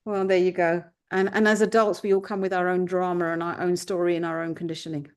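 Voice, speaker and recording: A woman's voice: fairly low pitch, flat delivery, quick pace, darkish tone, clear, resonant tone. Thoughtful Englishwoman in her fifties. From England. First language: Punjabi. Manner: thoughtful, circling back with restarts. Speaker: guest, life coach. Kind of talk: reflective podcast conversation.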